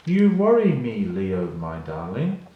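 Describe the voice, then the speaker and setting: A man's voice: lowish voice, huge pitch swings, slow, very echoey, dull audio, heavily acted. A man voicing a stern, worried mother lioness from a storybook, firm and admonishing.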